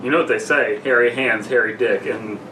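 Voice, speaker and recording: A young man with a mid-pitched voice reads and banters casually as a podcast co-host, with crude joking. The sound is roomy and distant, with clear noise underneath. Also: steady tone, a little fast, sharp tone. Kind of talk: casual banter and story read-aloud. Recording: echoey room, distant mic, noisy.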